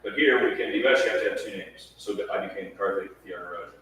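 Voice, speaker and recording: A man's voice: sits low, racing. A man from India whose first language is Tamil, an artist speaking in a casual interview and stressing his points by repeating them. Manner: emphatic, repeats key points. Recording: echoey room, distant mic, faint hiss.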